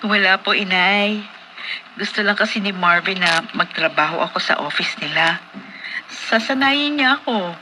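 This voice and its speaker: Actress as meek, respectful young Filipina. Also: female voice, mid-range voice, normal speed, background noise, close to an everyday voice. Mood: reassuring. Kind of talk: Tagalog radio drama acting.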